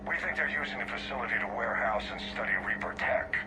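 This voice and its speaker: An actor playing a grave, authoritative admiral giving a mission briefing in a sci-fi video game. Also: male voice, mid-range voice, animated, quick pace, lightly acted. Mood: grave, commanding. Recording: noisy radio-style comm link.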